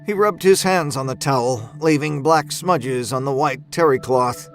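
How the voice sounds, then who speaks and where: A man's voice: mid-range voice, a little slow. A man reading horror fiction as a scripted audiobook-style narration. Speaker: storyteller. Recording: music behind the voice.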